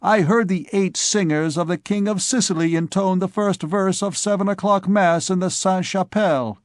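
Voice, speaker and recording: A man's voice: high voice, dry recording, clean studio sound, pitched above the performer's own voice, close to an everyday voice. A man voicing an impish young male student who teases and boasts in an old storybook tale. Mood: cheeky. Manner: teasing, quick and boastful.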